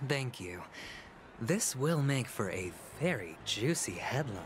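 An actor playing a sly, suave young man in a video game, measured and theatrical in manner. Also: medium pitch, very animated. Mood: smooth, ingratiating. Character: sly, suave young gentleman gambler, smoothly calculating. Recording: music behind the voice.

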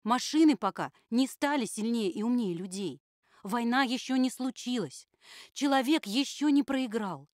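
A solemn puppet survivor , voiced by a woman, giving admonishing warnings in a level, steady voice. The sound is dry and close, with no room echo. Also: fairly high pitch, normal speed, lightly acted. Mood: urgent. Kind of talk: puppet theatre performance.

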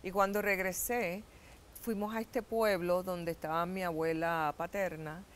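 A middle-aged Puerto Rican woman, an actress, telling stories as a guest in a TV studio interview, animated and gesturing. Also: lowish voice, unhurried, slight background noise. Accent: Puerto Rican Spanish. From Puerto Rico. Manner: animated storytelling with gestures, laughs and acted-out quotes. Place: TV studio set.

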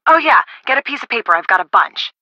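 Bright, chatty young game sidekick. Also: female voice, on the high side, fast, no echo, muffled recording, exaggerated voice. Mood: thoughtful, gossipy. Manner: casual, expressive, friendly. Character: upbeat, chatty, helpful young woman, the detective's friend. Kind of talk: video game voice acting.

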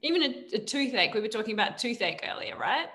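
Lively high-voiced woman asking questions. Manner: inquisitive, quick follow-up questions. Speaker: podcast co-host asking questions. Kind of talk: casual podcast chat.

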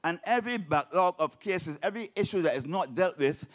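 A Barbadian man addresses a public meeting from the floor. His delivery is declaiming and emphatic, and his pitch swings up and down in a lively way. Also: brisk. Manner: declaiming, rising to emphatic peaks. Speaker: audience member at a public meeting. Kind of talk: impassioned public speech. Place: large hall at a public meeting.

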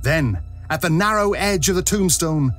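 A man's voice: fairly high pitch, animated, moderate pace, a bit bright, faint music behind. A man narrating a ghostly tale for an audio drama in a theatrical storytelling manner.

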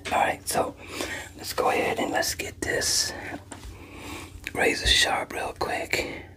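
Whispering older man, ASMR barber roleplay. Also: sharp tone. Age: 50s or older. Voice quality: breathy, mostly unvoiced whisper. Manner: unhurried, reassuring, chatty to a client. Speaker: ASMR creator role-playing a barber. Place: small room at home, indoors.

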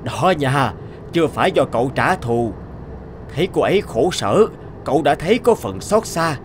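A man voicing a calm, wise older advisor in a cartoon, gently asking probing questions. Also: mid-range voice, moderate pace, a little hiss or hum, close to an everyday voice. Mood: counseling. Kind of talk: cartoon voice acting. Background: background music.

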